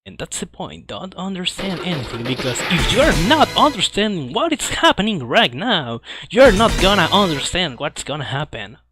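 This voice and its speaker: A man who speaks English as a second language, giving halting live commentary as he speedruns a video game. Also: high voice, very animated. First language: not English. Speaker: speedrunner commentating own play. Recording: loud game music behind the voice. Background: video game music.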